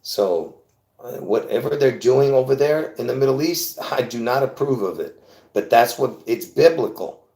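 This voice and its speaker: A man's voice: medium pitch, animated, normal speed, warm tone. A man hosting a casual solo livestream, talking in a rambling, chatty way with frequent laughs and restarts. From born in Lebanon. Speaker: livestream host. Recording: roomy echo.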